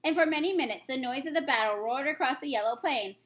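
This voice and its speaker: A woman reading a children's story aloud in expressive narration. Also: high-pitched, fast. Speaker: reader, teacher.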